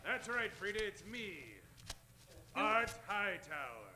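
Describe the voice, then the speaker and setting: A man's voice: high-pitched, very animated, slow pace, sharp tone, full character voice. A man playing a swaggering, scheming Old West hero with theatrical delivery in a comedic radio play. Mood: triumphant. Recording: music behind the voice.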